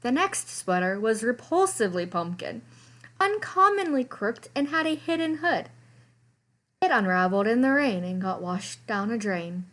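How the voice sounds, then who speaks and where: A woman's voice: a little high, very animated, moderate pace, fairly bright tone. Woman reading a picture book expressively. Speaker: librarian reading storytime.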